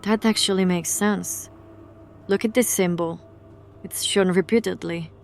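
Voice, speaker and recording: A woman's voice: mid-range voice, steady tone, a little slow, creaky, soft background music. A woman acting in an audio drama as a guarded, wry young woman who knows occult rituals and explains them in an understated way. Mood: intent.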